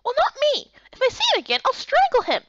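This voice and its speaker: A woman's voice: very high voice, huge pitch swings, fast talker, a bit bright, no echo, highs cut off, pitched above the performer's own voice, heavily acted. A woman voicing an eager, feisty young heroine in a fantasy game, exclamatory and emotive. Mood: indignant.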